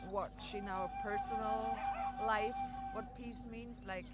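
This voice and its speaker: A woman answering a street interview outdoors, slow and thoughtful. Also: fairly high pitch, steady tone. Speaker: festival participant. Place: outdoor festival square. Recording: loud music outdoors.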